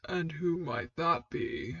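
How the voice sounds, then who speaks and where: A woman voicing a stern male dark lord and commanding mentor, speaking in a formal, measured, authoritative way for a fan fiction reading. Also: deep voice, big pitch swings, creaky, dry recording, muffled recording, pitched below the performer's own voice, full character voice.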